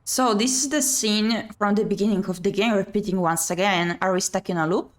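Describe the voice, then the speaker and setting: A woman's voice: mid-range voice, a little fast. A woman playing a visual novel on her channel, reading the text aloud and adding chatty commentary. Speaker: video game streamer.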